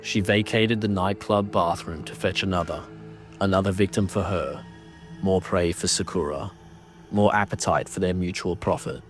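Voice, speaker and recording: A man narrating a horror audio drama in a grave, deliberate, dramatic way, reading ornate scripted prose. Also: deep voice, fairly even intonation, soft background music.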